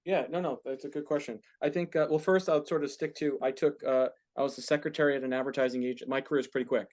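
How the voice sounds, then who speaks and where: A man's voice: medium pitch, steady tone, fast talker, a little mellow. A man in his forties answering questions informally as a guest speaker in front of a class. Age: 40s. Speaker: guest speaker, entertainment business founder.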